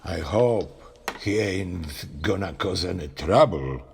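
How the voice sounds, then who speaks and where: A man playing a gruff, suspicious guard in an audio drama, blunt and wary in his colloquial talk. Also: sits low, expressive, takes it slowly, a little mellow, a little hiss or hum, exaggerated voice.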